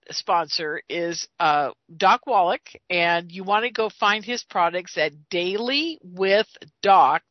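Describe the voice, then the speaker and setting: A woman's voice: on the low side, no room sound. Chatty older woman host, dull dry sound. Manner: chatty, emphatic, repeats herself. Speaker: radio show host. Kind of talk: informal host-read sponsor and donation appeal.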